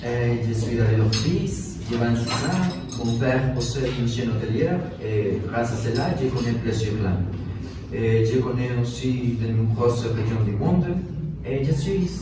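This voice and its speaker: A suave young husband in his twenties played by a man, courteous but halting in an amateur drama. His mid-pitched voice is distant, in a very echoey room with clear noise. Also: lightly acted. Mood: self-assured. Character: suave 26-year-old rich husband and doctoral student. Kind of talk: amateur staged dramatisation in French. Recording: echoey room, far mic, noisy.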